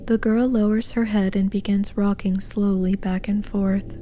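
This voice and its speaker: A woman reads as a sombre horror game narrator. Her mid-pitched voice stays very flat and measured in tone, on a noisy recording with clicks. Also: close to an everyday voice. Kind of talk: scripted narration in game fan dub.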